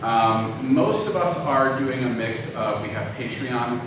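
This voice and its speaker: A man speaks as a lecturer in a conversational, stop-and-start manner. His voice rings around a heavily reverberant room and reaches a distant microphone, with clear background noise. The delivery is informal, aimed at a live audience. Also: medium pitch, takes it slowly. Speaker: lecturer, audio drama producer. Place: indoor room talk before an audience. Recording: very echoey room, distant mic, noisy.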